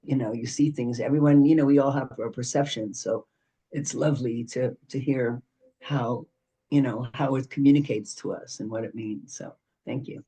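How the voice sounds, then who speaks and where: A man's voice: mid-range voice, fairly even intonation, normal speed, warm tone, husky, rough recording. A man on an arts panel telling a rambling anecdote, full of "so" and "you know". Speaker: panelist, arts organization president.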